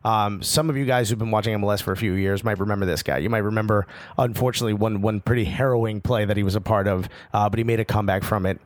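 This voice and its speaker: A man's voice: fairly low pitch, quick pace, no echo. A man co-hosting a soccer podcast, chatting casually and laughing often. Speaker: podcast co-host. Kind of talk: casual chat.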